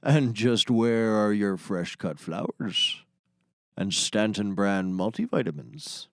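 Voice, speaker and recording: A man's voice: fairly low pitch, animated, slow pace, creaky, dry recording, studio quality, heavily acted. An actor playing a matter-of-fact, salesmanlike male sidekick, a shady ex-mercenary, in a scripted comedy. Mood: earnest pitching. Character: gruff male sidekick, shady ex-mercenary turned product pitchman. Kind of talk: scripted comedy audio drama.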